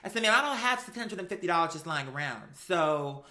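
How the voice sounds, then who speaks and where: An American live-stream host telling stories casually in an animated, emphatic, slangy way, acting out exchanges. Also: mid-range voice, a little fast.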